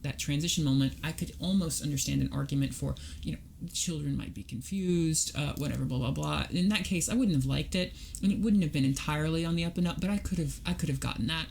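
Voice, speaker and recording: A woman in a relaxed podcast chat, her voice carrying a gravelly fry as she works through ideas point by point. She explains patiently and logically, with a faint hiss of noise in the background. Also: deep voice, fast talker, hardly any pauses. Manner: reasoned, explanatory, talks through arguments step by step. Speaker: podcast guest. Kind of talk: casual conversational interview.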